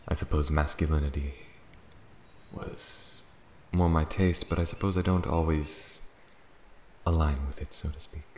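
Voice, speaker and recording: An ancient dragon in elven male disguise, acted by a man, speaks in an extremely deep voice. He is proud, amused and deliberate, with theatrical fantasy ASMR phrasing. The sound is roomy and echoing, with faint crickets and nature ambience behind. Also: a little mellow, slight background noise. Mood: proud, reflective. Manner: slow, deliberate, theatrical, breathy near-whispers at times.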